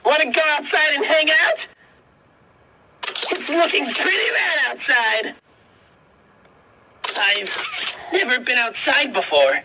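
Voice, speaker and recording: A man's voice: extremely high pitch, animated, slow, pauses often, noisy background, full character voice. A man voicing a cool young chicken toy, timid underneath, in scripted game lines. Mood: eager, then scared.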